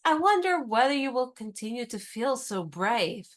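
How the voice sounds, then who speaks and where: An actress in a radio play playing a spirited young woman disguised as a lady's maid, talking in a brisk, teasing, knowing way. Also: fairly high pitch, very animated.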